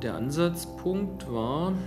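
Ein Mann erklärt in förmlicher, stockender Art etwas in einem Interview. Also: male voice, on the high side, lively intonation, takes it slowly, music in the background. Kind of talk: explanatory interview statement.

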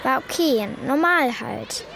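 With street noise clearly behind, a high-pitched schoolgirl speaks in a children's radio play. She is thoughtful and loyal, and a little defensive. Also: expressive, slow, heavily acted.

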